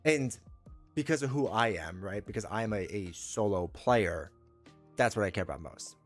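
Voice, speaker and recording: A man's voice: on the low side, lively intonation, normal speed, faint music behind. Stuffy-nosed male streamer chatting with chat. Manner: rambling, self-correcting, opinionated. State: stuffed up from a cold. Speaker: streamer host. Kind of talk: casual live-stream chat with viewers.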